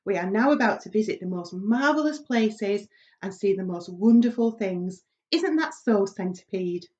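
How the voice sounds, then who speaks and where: A woman's voice: mid-range voice, expressive, moderate pace, darkish tone, natural voice. Woman as a kind ladybird, slightly dull recording. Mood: hopeful. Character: kind, gentle, reassuring female ladybird.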